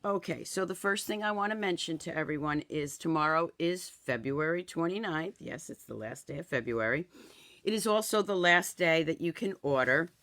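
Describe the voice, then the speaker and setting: A woman in her fifties, hoarse and raspy from a cold, chatting through a live craft demo and greeting viewers by name in a home craft room. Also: low voice, fairly bright tone. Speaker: craft product demonstrator. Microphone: camera in front, then overhead camera.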